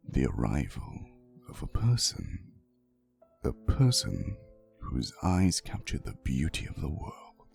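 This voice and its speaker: Man playing a slow, low-voiced, tender vampire boyfriend. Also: creaky, heavily acted. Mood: wistful, romantic. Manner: soothing, unhurried, gently teasing, with dramatic pauses. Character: ancient vampire boyfriend, tender, wistful, teasingly fond of humans. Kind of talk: ASMR roleplay, intimate storytelling to the listener.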